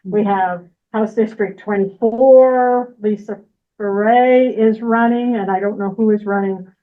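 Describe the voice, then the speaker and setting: A woman's voice: mid-range voice, fairly even intonation, a little slow. A woman giving a political action report at a community meeting, laughing easily. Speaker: political action team lead. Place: meeting room. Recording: tinny, phone-like audio.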